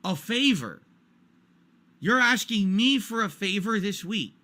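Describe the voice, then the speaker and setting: A man's voice: high voice, lively intonation, unhurried, sharp tone. A man in his forties ranting during a stream, exasperated and repetitive, hammering his points home. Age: 40s.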